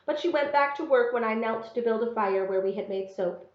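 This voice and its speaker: Female read-aloud narrator, expressive and measured, first-person fiction, roomy and slightly dull recording. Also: fairly high pitch.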